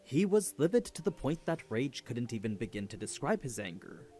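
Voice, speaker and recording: Animated male narrator over music. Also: medium pitch, moderate pace. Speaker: lore video narrator. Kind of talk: scripted explanatory narration. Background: background music.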